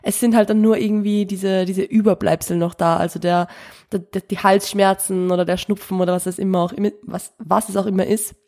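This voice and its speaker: A woman's voice: medium pitch, fairly even intonation, a little fast, dark tone. Young woman, podcast host, stuffy nasal cold voice, casual self-deprecating chatter with restarts. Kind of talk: solo podcast talk.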